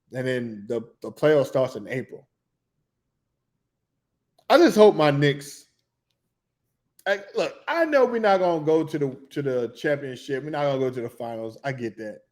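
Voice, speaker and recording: A man, talking loosely and excitedly about basketball as a podcast co-host in casual chat. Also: medium pitch. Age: 40s.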